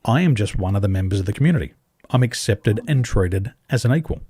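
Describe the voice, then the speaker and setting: An Australian man, a podcast guest, speaking on a video call and telling animated stories, acting out dialogue with big leaps in pitch and emphatic repetition. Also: quick pace, slightly rough, dry recording, clean studio sound. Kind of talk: animated conversational storytelling. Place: home in Taiwan, over a video call.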